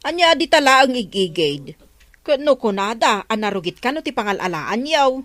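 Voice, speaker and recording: A woman's voice: fairly high pitch, sing-song, normal speed, a bit bright, dry recording. A woman with an Ilocano accent playing a suspicious, scolding village neighbour in a radio comedy drama.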